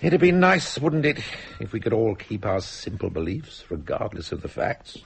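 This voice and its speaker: An actor playing a witty, well-heeled British socialist journalist in a radio play, wordy and bantering with irony. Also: male voice, on the low side, sing-song, moderate pace, gravelly, husky, full character voice. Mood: teasing, curious. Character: Dan, wry, well-heeled socialist journalist, teasing and curious.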